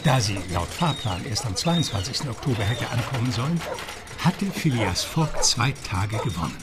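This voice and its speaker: A man's voice: medium pitch, big pitch swings, normal speed, very noisy. A man narrating a radio play as a scripted storyteller. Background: music.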